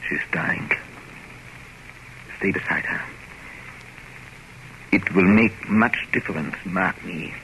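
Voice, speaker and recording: A man's voice: low-pitched, slow pace, pauses often, pushed and bright, full character voice. An actor playing a grave, commanding older male doctor, portentous and emphatic, in 1930s radio drama style. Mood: grave resignation. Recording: slightly noisy old radio recording.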